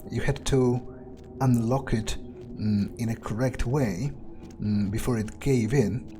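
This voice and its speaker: A man playing a weary, foul-mouthed vampire who thinks aloud in a halting, musing monologue, as in a game playthrough. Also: medium pitch, background noise, natural voice. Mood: grumbling, musing. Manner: halting, musing, casually profane. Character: weary, foul-mouthed male vampire muttering his thoughts. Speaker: gaming video creator playing in character.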